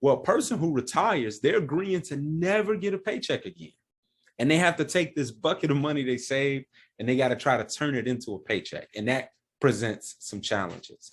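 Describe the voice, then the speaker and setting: A man's voice: mid-range voice, lively intonation, normal speed, slightly rough. A man, a financial advisor and founder of his firm, explaining retirement planning step by step in plain words. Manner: plain-spoken, walks through examples step by step.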